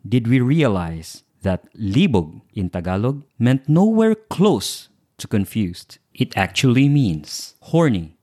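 A young Filipino man whose first language is Bisaya, hosting a podcast and telling stories in a lively way. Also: medium pitch, slow pace, few pauses. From Philippines (Cebu). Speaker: podcast host. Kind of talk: storytelling intro then casual chat.

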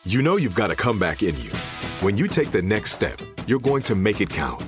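A man voicing an inspirational ad for an online university, earnest and slow on the key lines. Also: lowish voice, big pitch swings. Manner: earnest, slow motivating delivery. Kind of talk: inspirational ad voice-over. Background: music bed.

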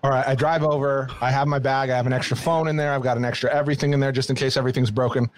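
A man's voice: mid-range voice, steady tone, racing, no room sound. A man co-hosting a podcast in casual chat, rambling and self-deprecating as he tells stories. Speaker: podcast co-host. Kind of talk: casual banter and storytelling.

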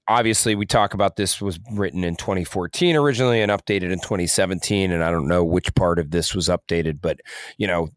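A man with a low voice talks as a podcast co-host in a casual discussion. His manner is earnest and informal, and the recording is close and dry. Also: quick pace, no echo. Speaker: podcast co-host, music artist manager.

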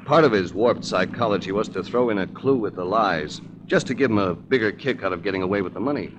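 An actor playing a shrewd, terse, confident investigator in a 1940s American radio detective drama. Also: male voice, fairly low pitch, fast, lo-fi sound, close to an everyday voice. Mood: cool, deductive. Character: shrewd, hard-boiled male insurance investigator. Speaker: lead actor. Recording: noisy old 1940s radio recording.

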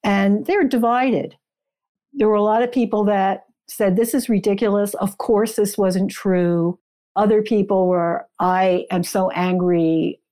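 A woman's voice: medium pitch, warm tone. A woman speaking as a guest author in a conversational interview, explaining history in an emphatic way.

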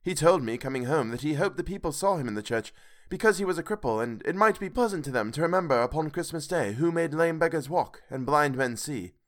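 A man voicing a poor, cheerful clerk and father, earnest and gentle. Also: high-pitched, huge pitch swings, a little slow, hardly any pauses, voice pushed up, exaggerated voice.

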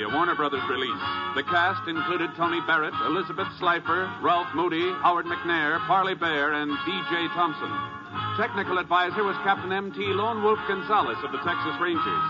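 1950s American radio announcer, high-pitched man. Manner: formal, measured delivery. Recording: dull vintage 1950s radio recording, loud music.

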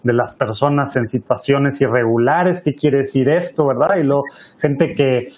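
A man's voice: mid-range voice, moderate pace, darkish tone. A man hosting a panel over a video call, chatty and colloquial, with many fillers, also leading a prayer. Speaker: panel host. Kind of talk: casual moderating and prayer.

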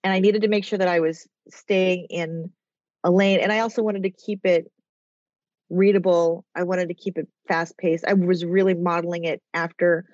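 A woman's voice: fairly low pitch, steady tone, normal speed, a little mellow, no echo, highs cut off. Chatty, rambling woman with a nasal voice. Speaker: author and executive guest. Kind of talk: casual interview chat.

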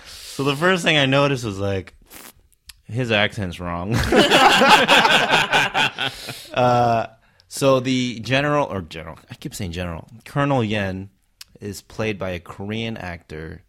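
A man's voice: fairly low pitch, animated, a little slow, a bit bright. A man who works as a stunt performer, whose first language is Vietnamese, talking about a film in a casual chat. He speaks animatedly, laughs often and acts out quotes.

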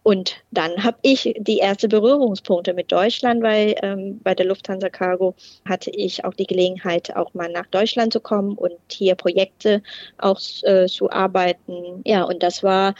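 A middle-aged woman talks through her answers in a hesitant way, pausing on fillers and starting over. Her voice sits in a normal range. The recording is dry and a little dull. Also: mid-range voice, fairly even intonation, darkish tone, no echo. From Thailand. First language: Thai. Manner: hesitant, many fillers and restarts. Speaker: guest, port process manager. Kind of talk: interview answers.

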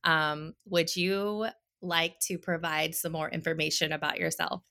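A woman's voice: low-pitched, level tone, a little slow, sharp tone. A woman podcast host reading an earnest scripted introduction and welcoming her guest.

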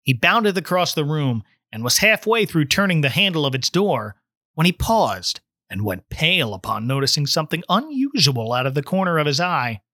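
Male audiobook narrator, dramatic delivery, bright forceful tone, dry close recording. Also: a little high, big pitch swings, moderate pace, dry recording. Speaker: guest story reader.

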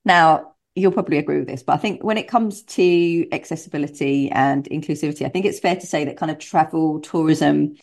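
A woman's voice: low-pitched, a little fast, gravelly, hardly any pauses, a little mellow. A woman hosting a podcast interview, talking in an enthusiastic, chatty, rambling way. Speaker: podcast host.

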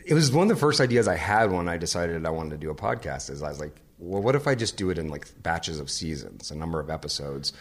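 A man being interviewed about his podcast, talking in a relaxed, chatty way and laughing easily. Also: low-pitched, fast, hardly any pauses. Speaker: podcast host and venue sound manager, interview guest. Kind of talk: casual interview conversation.

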